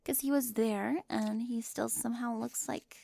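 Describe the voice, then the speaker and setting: Woman playing a prim, high-voiced controlling teen girl. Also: steady tone, normal speed, gravelly, dark tone. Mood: flustered, officious. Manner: fussy, officious, over-precise, flustered. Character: prim, controlling, hyper-organized popular teen girl planning prom. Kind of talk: scripted ASMR audio roleplay.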